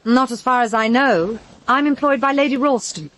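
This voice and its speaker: An actress playing a polite, composed young British secretary in a radio drama. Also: female voice, fairly high pitch, normal speed, fairly bright tone, natural voice. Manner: polite, unruffled. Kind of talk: full-cast radio drama acting.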